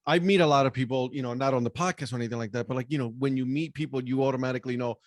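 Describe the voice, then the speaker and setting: A podcast host from Puerto Rico chats easily in a mid-pitched male voice. He strings his thoughts together with constant "you know"s, and the recording is dry and close. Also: racing, dry recording. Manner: filler-heavy, lots of "you know". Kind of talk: casual conversation.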